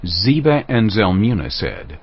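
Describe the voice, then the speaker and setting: A man's voice: on the low side, big pitch swings, unhurried, husky. A man narrating scripture from a script in a dramatic storytelling style. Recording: dull audio with music underneath. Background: music.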